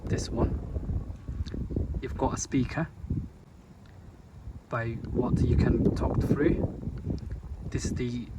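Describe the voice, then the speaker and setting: A man presenting an installation as a product walkthrough, speaking deliberately in short, halting phrases. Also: mid-range voice, fairly even intonation, very noisy. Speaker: gate installer presenting his work.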